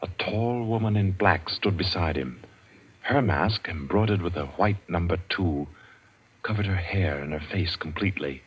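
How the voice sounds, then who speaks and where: A man narrating a suspense radio drama in a measured, deliberate old-time storytelling style. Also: low voice, breathy edge. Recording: dull vintage radio recording with music.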